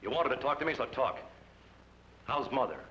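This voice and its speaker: A man's voice: medium pitch, lively intonation, moderate pace, bright, forceful tone. A man playing a cold, curt and sarcastic outlaw brother in an old radio drama. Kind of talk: 1950s radio drama acting. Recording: muffled old radio broadcast with hiss.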